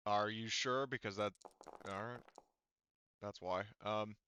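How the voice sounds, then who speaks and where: A man's voice: lowish voice, steady tone, slow, bright, forceful tone, a little hiss or hum. A man running a tabletop roleplaying game, narrating combat and dice rolls in a conversational, profane way. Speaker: game master.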